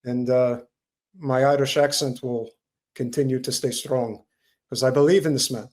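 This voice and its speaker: A man talking in a casual podcast chat, earnest and halting, often restarting his sentences. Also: mid-range voice, steady tone, a little mellow. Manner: earnest, halting, repeats himself.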